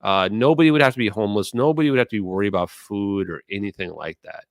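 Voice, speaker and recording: A man's voice: fairly low pitch, fast, no room sound, clean studio sound. A man with a mid-Atlantic accent from the United States, talking earnestly and ramblingly in a casual online chat, swearing now and then. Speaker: streamer and guest speaker. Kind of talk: casual impassioned talk in an online audio room.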